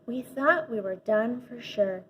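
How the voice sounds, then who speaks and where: An imaginative storybook schoolkid played by a woman reading aloud bluffs through a class speech in a fairly high voice. The delivery is earnest, emphatic and a touch mysterious. The audio is slightly dull, with faint noise. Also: lively intonation, a little slow. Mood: earnest recalling. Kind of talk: children's storybook read-aloud.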